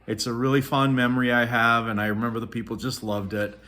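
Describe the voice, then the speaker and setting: A man's voice: mid-range voice, level tone, fast talker, pushed and bright. Rambling middle-aged man, from Norway. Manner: rambling, with many ums and restarts. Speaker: vlogger. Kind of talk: casual storytelling vlog. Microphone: selfie stick phone.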